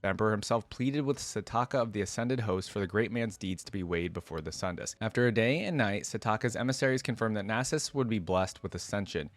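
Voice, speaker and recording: A man's voice: lowish voice, expressive, quick pace, few pauses, no room sound. A man hosting a reaction video, reading aloud and commenting in an informal, conversational way. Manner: informal, conversational asides with frequent "like".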